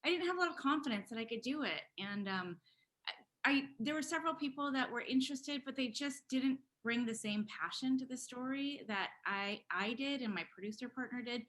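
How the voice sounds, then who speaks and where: A woman's voice: sits high, fairly quick, a bit bright. A woman filmmaker talking casually and candidly on a panel, animatedly quoting herself and others as she tells her story.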